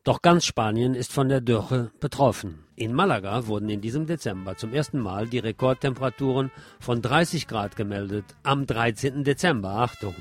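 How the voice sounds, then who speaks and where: A man's voice: mid-range voice, animated, moderate pace, hardly any pauses. A man presenting scripted news in German, reading emphatically with the occasional wry aside.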